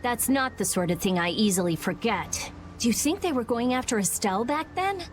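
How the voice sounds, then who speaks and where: Woman playing a testy, animated teenage girl mage. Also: on the high side, brisk, slight background noise, close to an everyday voice. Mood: angry. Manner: blunt, testy. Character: sharp-tongued, hot-tempered, brainy teenage girl mage. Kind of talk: video game voice acting.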